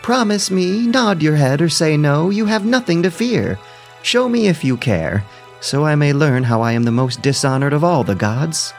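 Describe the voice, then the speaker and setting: A man's voice: a little high, animated, moderate pace, creaky, soft background music, voice pushed up. A man voicing a pleading goddess mother in an epic audio drama, imploring in formal archaic language. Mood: urgent pleading. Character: pleading sea goddess mother, imploring Zeus for her son.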